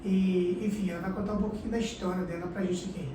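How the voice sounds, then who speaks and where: Woman interviewer, low voice, far from the mic, noisy echoey room. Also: fairly even intonation, quick pace. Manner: affirming, many "sim, sim" backchannels. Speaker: interviewer, host. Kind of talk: interview hosting.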